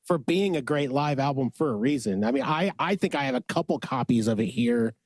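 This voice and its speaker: A man's voice: mid-range voice, lively intonation, brisk, darkish tone, dry recording. A man hosting a podcast in a studio, with a smoky voice, upbeat and urging listeners to get out and support music. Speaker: podcast host. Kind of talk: casual podcast chat.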